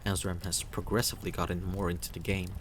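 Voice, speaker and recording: A man's voice: sits low, level tone, quick pace, a little hiss or hum. A man reading scripted second-person story narration aloud, as the narrator of a game.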